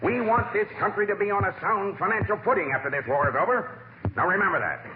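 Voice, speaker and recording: An actor playing a pompous, nosy neighbor with an officious, lecturing manner in 1940s radio comedy. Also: male voice, sits high, animated, fast talker. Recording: tinny, echoey, noisy old radio recording.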